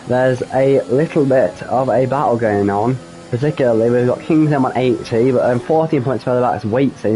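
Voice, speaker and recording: A man giving solo live commentary on an online racing league, slightly croaky from a cold, hesitant with frequent ums and self-corrections. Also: medium pitch, dark tone, rough recording.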